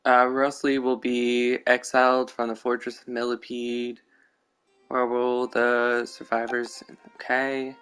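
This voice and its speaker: Slow-paced man casually commentating on a game. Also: mid-range voice, completely flat delivery, a bit bright, clean tone. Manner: informal, joking. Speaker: video game streamer. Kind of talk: casual gameplay reaction commentary. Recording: faint game music behind.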